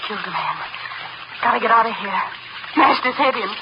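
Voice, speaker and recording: A woman's voice: on the high side, animated, fairly quick. An actress playing a guilt-ridden, panicked woman killer in breathless, clipped fragments, in 1930s radio horror style. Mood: desperate. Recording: muffled, noisy 1930s radio recording.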